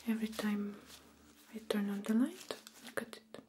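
A young woman speaking in a soft, breathy whisper, gently and slowly reassuring as a doctor in an ASMR medical role play, close to the microphone. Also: medium pitch, background noise. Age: young adult. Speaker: ASMR performer playing a doctor.

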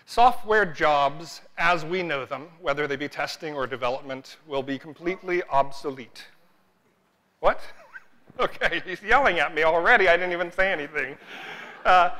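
A man in his forties speaks on a large conference stage with a bright, forceful voice, pitched a little high for a man. He jokes at his own expense and peppers his talk with "uh.". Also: rough recording. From United States. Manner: self-deprecating, joking asides, frequent "uh" fillers.

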